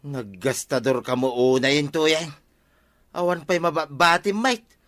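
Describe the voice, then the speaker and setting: A stingy, blustering village husband in an Ilocano radio comedy drama, with a bright, forceful, somewhat high male voice. He grumbles and exclaims in an Ilocano accent. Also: a little slow. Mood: grumbling.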